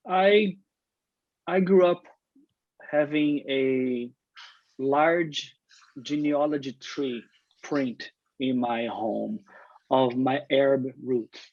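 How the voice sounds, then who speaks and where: A man's voice: mid-range voice, very slow, pauses often, a little mellow, no room sound, lo-fi sound. A man from Brazil, an artist speaking earnestly on an online panel, often restarting his sentences. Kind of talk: panel discussion. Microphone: video call.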